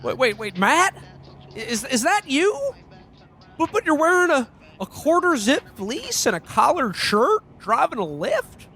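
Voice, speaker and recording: A man's voice: extremely high pitch, sing-song, a little slow, sharp tone, faint music behind, full character voice. A man playing a bewildered, frantic everyman in a radio-play parody, stammering and full of old-fashioned folksy exclamations. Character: frantic, bewildered everyman in an alternate Christmas reality.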